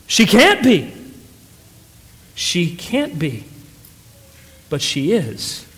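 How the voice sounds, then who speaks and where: A proud but flustered inventor husband in a story reading, played by a man. He is insistent and full of protesting questions, in a high voice that echoes through a hall. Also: big pitch swings, slow pace, fairly bright tone, heavily acted. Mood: baffled protest. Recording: echoey hall with long reverb.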